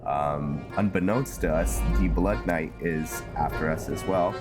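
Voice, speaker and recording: A man giving casual gameplay commentary, musing aloud in a halting, hesitant way, with music behind him. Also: extremely low pitch. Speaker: gamer playing and narrating a fantasy roleplay series. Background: loud game music under the voice.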